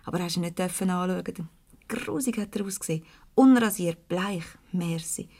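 An actress playing a brisk, conscientious office secretary with a Swiss German accent, easily exasperated, in 1970s radio comedy style. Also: female voice, lowish voice, a little mellow. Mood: gossiping, dismayed.

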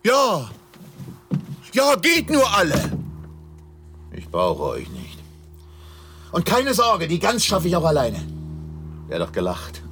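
A man's voice: high-pitched, huge pitch swings, takes it slowly, pauses often, sharp tone, soft background music, heavily acted. An actor playing a blustering, sentimental middle-aged father and opera singer in a theatrical German radio play, muttering and groaning to himself. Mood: defiant, flustered.

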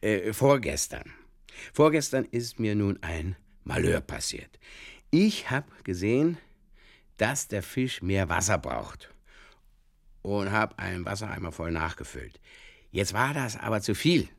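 A man playing an earnestly muddled Bavarian fishkeeper in a halting, circuitous comic radio monologue, with a Bavarian accent. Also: lowish voice, sing-song, vocal fry, dry recording, clean studio sound, exaggerated voice. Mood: earnestly explaining. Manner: halting, circuitous, literal-minded, over-explaining. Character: rambling, earnestly muddled Bavarian fishkeeper telling absurd tales.

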